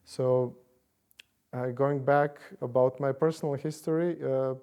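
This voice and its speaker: A man in his forties from Bosnia and Herzegovina, speaking, answers interview questions in a measured way, with frequent fillers and hedges. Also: medium pitch, steady tone, dark tone, clean tone. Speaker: interviewee, church commission director.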